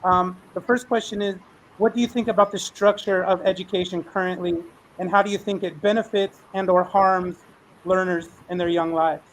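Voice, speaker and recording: A man's voice: high voice, fairly even intonation, normal speed, a little hiss or hum, poor audio. A man moderating a panel discussion in an encouraging, conversational way, as an educator hosting questions.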